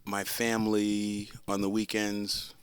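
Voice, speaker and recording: A man in his sixties from the Boston area, telling stories from his childhood in a relaxed way on a panel, chuckling often. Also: on the low side, slow pace, clean tone, no room sound. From Boston area, Massachusetts. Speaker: panel guest. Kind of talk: casual storytelling.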